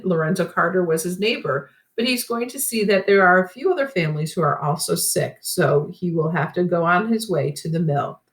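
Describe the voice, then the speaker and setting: A woman reading a children's storybook aloud like a teacher, with expressive and deliberate phrasing. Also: fairly low pitch, echoey room, low-quality recording.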